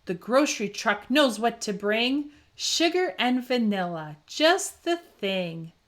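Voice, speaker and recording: A woman reading a children's picture book aloud with expressive, rhythmic phrasing and dramatic emphasis for young listeners. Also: fairly high pitch, takes it slowly. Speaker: children's story reader.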